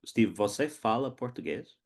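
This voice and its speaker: A man acting as host and teacher, asking slow, simple questions in a casual oral interview. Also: medium pitch, lively intonation, vocal fry, a little mellow.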